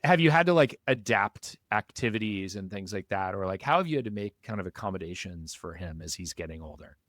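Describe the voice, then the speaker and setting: A man's voice: lowish voice, expressive, fairly quick, a bit bright, no echo, clean studio sound. A male podcast host, curious and affirming, asking long conversational questions. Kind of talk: conversational interviewing.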